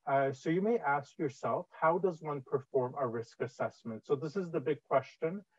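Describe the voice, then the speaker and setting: A man's voice: a little high, normal speed, husky, dry recording. A man in his thirties with a Canadian accent, presenting a training webinar over slides on a video call, with frequent "uh" fillers in a conversational way. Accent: Canadian English. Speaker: webinar presenter on infection prevention. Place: indoor room, on video call. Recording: dull, dry video-call audio.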